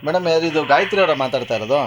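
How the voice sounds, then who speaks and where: Very fast-talking, argumentative man on noisy call. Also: fairly high pitch, animated. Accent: Karnataka Kannada. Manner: argumentative, pestering, colloquial. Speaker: radio jockey making prank calls. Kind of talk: prank phone call.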